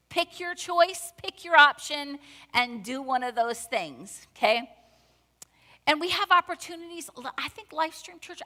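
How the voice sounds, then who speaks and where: A woman's voice: sits high, pushed and bright. A middle-aged woman preaching a sermon in a church, talking conversationally and with emphasis. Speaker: pastor. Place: church sanctuary. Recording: long church hall reverb.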